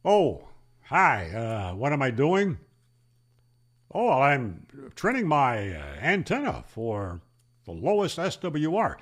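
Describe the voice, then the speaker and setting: A man's voice: mid-range voice, huge pitch swings, slow pace. A man hosting a hobby radio channel, explaining and telling stories in a folksy, conversational way. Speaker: ham radio channel host. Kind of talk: explanatory storytelling to camera.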